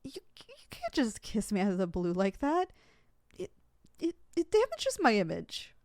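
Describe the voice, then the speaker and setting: A woman's voice: medium pitch, wildly swooping pitch, moderate pace, a little mellow, no echo, clean studio sound. A woman playing a proud but easily flustered, lovesick young supervillain in an affectionate, dramatic ASMR roleplay.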